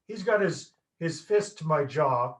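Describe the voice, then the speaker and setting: A man's voice: fairly high pitch, expressive, measured pace. A Canadian man in his fifties, a guest telling stories in a casual, jokey, self-deprecating way over a video call. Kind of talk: casual interview chat.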